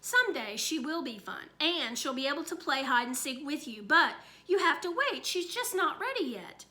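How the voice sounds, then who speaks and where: A woman's voice: high voice, sing-song, normal speed, bright, forceful tone, exaggerated voice. A woman voicing an anxious, stern young mother who worries and scolds. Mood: scolding.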